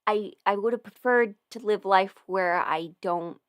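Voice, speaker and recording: A woman's voice: mid-range voice, measured pace, dry recording. Woman thinking aloud, dry close sound. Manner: hesitant, self-interrupting, thinking aloud. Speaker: livestream host, audio roleplay creator. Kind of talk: casual rambling livestream Q&A.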